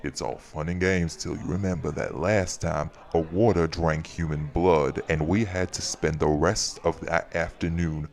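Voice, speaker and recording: A man's voice: fairly even intonation, unhurried, few pauses. A man playing a bossy, fussing party host and shopkeeper in an audio drama. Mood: stern, fussing. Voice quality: very deep, gravelly. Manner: scolding, exasperated, folksy. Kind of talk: audio roleplay acting.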